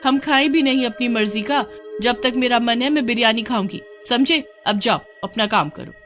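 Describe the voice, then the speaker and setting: Woman doing high-pitched bossy cartoon village wife. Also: moderate pace, fairly bright tone, faint music behind, natural voice. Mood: bossy scolding. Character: bossy, teasing village wife, easily frightened.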